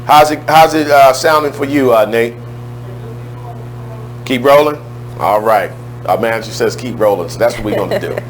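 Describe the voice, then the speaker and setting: A man with a loud, strong voice hosting a radio talk show in a casual, chatty way, speaking into a studio microphone. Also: medium pitch, moderate pace, long pauses, slight background noise, low-quality recording.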